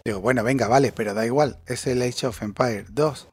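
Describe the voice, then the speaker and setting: A man's voice: medium pitch, fairly quick, slightly rough, soft background music. Ranting man in his forties. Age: 40s. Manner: ranting, sarcastic, swears freely. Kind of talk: casual chat.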